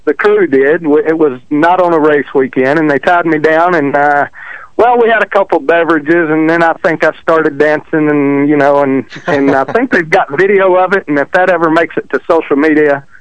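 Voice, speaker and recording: A man with a Texan country accent tells his stories in an easygoing, self-deprecating way. His voice sits a bit high for a man. It comes through a phone line with a dry, close sound. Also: moderate pace, no room sound. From Texas. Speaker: drag racer guest. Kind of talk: casual interview chat. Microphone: phone call.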